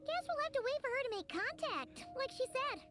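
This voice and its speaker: A woman voicing a tiny, excitable childlike fairy companion in a video game, squeaky and perky. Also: a little fast. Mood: excited. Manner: perky, refers to herself in third person. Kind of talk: video game character acting. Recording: loud game music behind. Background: playful game music.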